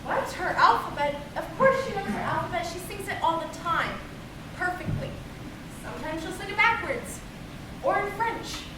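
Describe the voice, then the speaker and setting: Woman acting excitable high-pitched mother, echoey stage. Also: moderate pace. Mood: indignant. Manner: emphatic, insistent, theatrical. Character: doting, excitable, easily exasperated mother in a comic play. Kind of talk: projected amateur stage comedy acting. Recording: echoey hall, distant mic, noisy.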